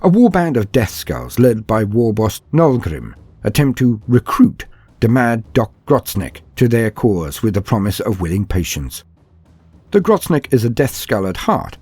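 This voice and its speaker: A man reading scripted fantasy lore narration in a theatrical storyteller manner, pausing for effect. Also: lowish voice, warm tone, breathy edge, no room sound. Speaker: narrator of a fan lore channel.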